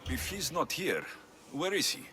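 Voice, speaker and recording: A man's voice: fairly high pitch, big pitch swings, a little slow, sharp tone, a little hiss or hum, exaggerated voice. A man acting a capable, sardonic Greek mercenary in a video game cutscene, with a dry delivery. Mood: curious, dry. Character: wry, capable male Greek mercenary for hire.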